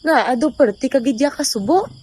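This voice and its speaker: Young woman as worried caring mother, high-pitched voice, fretful, amateur radio drama. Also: expressive, a little fast, darkish tone, slightly rough, no echo. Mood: concerned. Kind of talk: amateur radio drama acting in Filipino regional language.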